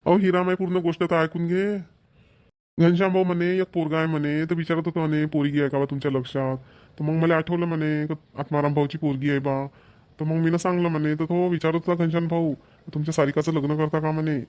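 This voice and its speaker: A man's voice: a little high, little pitch movement, quick pace, darkish tone, natural voice. A man voicing a grumbling middle-aged village husband in a cartoon, sarcastic with muttered asides. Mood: wry. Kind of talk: cartoon voice acting.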